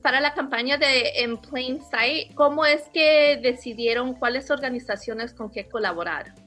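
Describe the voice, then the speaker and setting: A woman's voice: high voice, a little slow, a bit bright, soft background music. A woman hosting a radio interview, asking friendly, direct questions.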